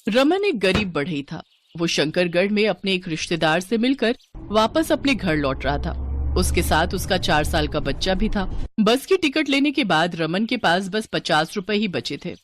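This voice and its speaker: A woman's voice: lowish voice, very animated, normal speed, a little hiss or hum. A woman narrator delivering a scripted moral story as storytelling narration.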